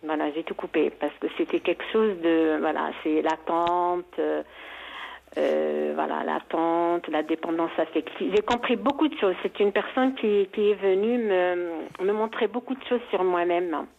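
A woman's voice: fairly low pitch, measured pace, gravelly, narrow, tinny audio. A woman from Algeria calling in to tell a personal story, hesitant and full of restarts. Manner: hesitant, many restarts and fillers. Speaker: caller.

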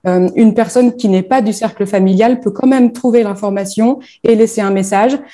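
A woman's voice: medium pitch, moderate pace, warm tone. A woman from northern France, founder of a bereavement platform, answering interview questions with frequent hesitations and fillers.

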